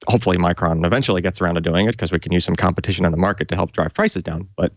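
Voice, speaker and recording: A man's voice: deep voice, steady tone, racing, no room sound, muffled recording. A man casually explaining technical hardware as a tech show co-host, in an informal, conversational way.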